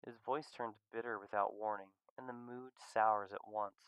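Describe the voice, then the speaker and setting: Soft-spoken man narrating a live visual novel. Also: fairly low pitch, fairly even intonation, moderate pace, clear, resonant tone, dry recording, low-quality recording. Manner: soft, quiet narration, chats with viewers between lines. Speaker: voice performer reading a visual novel.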